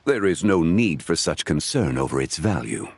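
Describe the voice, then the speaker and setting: Deep calm scholarly gentleman in a game, formal n polite, lots of intonation. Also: vocal fry, noisy background, full character voice. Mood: courteous, earnest. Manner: formal, measured, eloquent. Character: refined, erudite gentleman scholar, calm and courteous. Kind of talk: video game voice acting.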